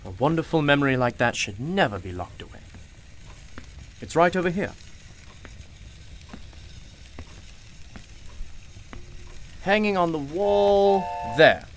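A man's voice: on the high side, expressive, moderate pace, slight background noise, close to an everyday voice. A man playing a genial, knowing, mysterious shopkeeper in a radio play, with a courtly, theatrical and cryptic manner. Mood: kindly.

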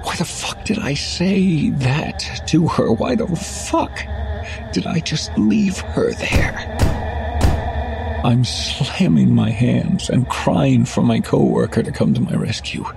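A man playing a frightened, guilt-ridden shop worker, telling his horror story in an intense, halting, gravelly delivery. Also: mid-range voice, animated, exaggerated voice. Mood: panicked. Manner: intense, halting, breaking into anguished shouts. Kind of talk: dramatic first-person horror narration. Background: music.

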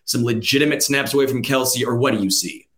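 A man's voice: mid-range voice, brisk, fairly bright tone, roomy sound. A man hosting a sports podcast, asking long rambling questions in a conversational way. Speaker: podcast host. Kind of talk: casual sports podcast chat.

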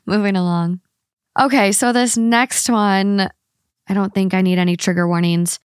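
A woman co-hosting a casual podcast, reading long online posts aloud in an even, steady way and chatting between them. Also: medium pitch, a little slow, smooth, no room sound, clean studio sound. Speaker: podcast co-host.

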